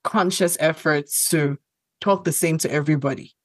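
Woman, very low voice, dry close sound, relaxed chatty podcast talk, non-native English speaker. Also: normal speed, breathy edge, no room sound. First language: not English. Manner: conversational, thoughtful, many fillers and restarts. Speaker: podcast co-host. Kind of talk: casual chat.

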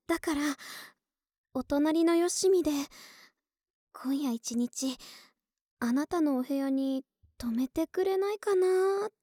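A woman's voice: high voice, slow, pauses often, mellow, dry recording, clean studio sound. A woman playing a friendly, clingy older-sister type neighbor in a cutesy, coaxing, intimate ASMR voice drama. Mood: shivering, complaining. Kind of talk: Japanese ASMR situation voice drama acting.

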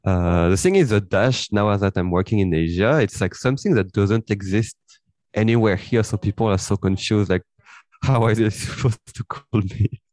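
Podcast guest, young man whose first language is French, low voice, loose unhurried chat, recorded dry. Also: no echo. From France. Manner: relaxed, rambling, with frequent fillers and light laughs. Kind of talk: casual interview chat.

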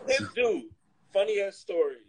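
A man in casual, comedic chat, talking in an animated, slangy, profane joking way. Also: high voice, moderate pace.

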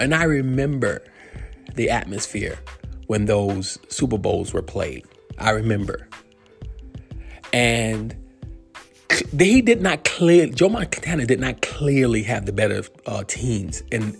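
A man's voice: lowish voice, expressive, measured pace, gravelly, soft background music. A man in his fifties from New York, hosting a solo sports podcast and talking in a rambling way with many fillers and restarts. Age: 50s. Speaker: podcast host. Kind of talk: solo sports commentary monologue.

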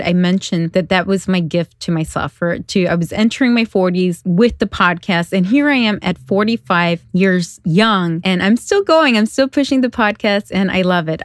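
A woman in her forties hosting a podcast in casual solo chat, bubbly and quick to laugh, dropping Spanish phrases into her English. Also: medium pitch, no echo, studio quality. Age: 40s. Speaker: podcast host.

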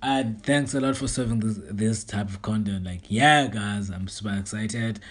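A man from South Africa leaving an excited voice message as a podcast listener, thanking the hosts over and over. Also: lowish voice, moderate pace, slightly rough. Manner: excited, repetitive, effusive.

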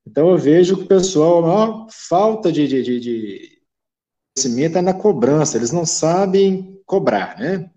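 A man's voice: on the high side, dark tone. Frank Brazilian man in his thirties, chatty. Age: 30s. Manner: frank, chatty, many filler "né". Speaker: glazier business owner. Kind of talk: casual live-stream talk.

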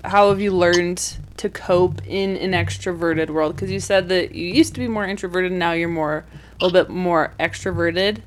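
A woman's voice: fairly low pitch, steady tone, moderate pace, a little hiss or hum. A woman hosting a podcast in casual conversation, chatty with many fillers. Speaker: podcast host.

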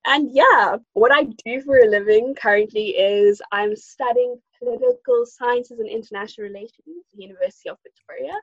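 A woman's voice: fairly high pitch, brisk, few pauses, fairly bright tone, husky, no echo. An earnest young woman from Zimbabwe shares her personal story as a podcast guest, thoughtful and full of fillers. The sound is slightly dull and muffled, and very dry. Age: young adult. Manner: earnest, reflective, frequent fillers.

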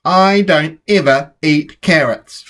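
A woman's voice: low voice, slow pace, a bit bright, pitched below the performer's own voice. A woman voicing a fussy, stubborn little girl in a storybook, with emphatic, dramatic delivery. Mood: stubborn, defiant. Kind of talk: storybook character voice.

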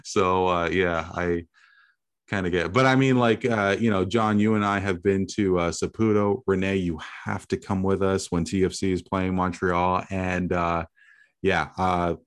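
A man's voice: low voice, fairly even intonation, moderate pace, clean tone. A man hosting a casual sports talk show, rambling with many fillers and laughing easily. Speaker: host.

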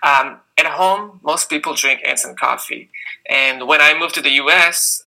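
A young man with an Israeli accent, a startup founder answering interview questions casually and haltingly, with many fillers. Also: on the high side, creaky, sharp tone, low-quality recording.

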